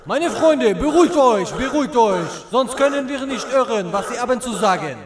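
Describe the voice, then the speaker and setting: A man's voice: very high voice, expressive, moderate pace, roomy sound, in a large hall, crowd noise, voice pushed up. A man doing a pompous rabbit rebel leader. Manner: pompous, rabble-rousing, odd word order. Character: scheming rabbit rebel leader, grandiose male.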